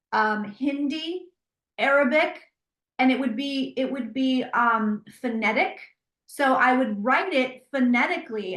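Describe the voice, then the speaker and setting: A woman who reads tarot, telling stories in an animated, conversational way with frequent restarts. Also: fairly high pitch, reverberant, rough recording. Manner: animated storytelling with many restarts. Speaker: psychic tarot reader. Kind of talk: interview conversation.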